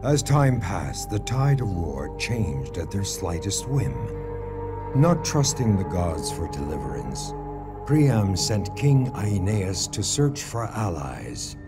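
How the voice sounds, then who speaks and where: A man's voice: low-pitched, sing-song, takes it slowly, exaggerated voice. An actor narrating an ancient war epic for a game as a solemn, ominous male storyteller with a grave, portentous manner. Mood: grave, foreboding. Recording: loud music under the voice.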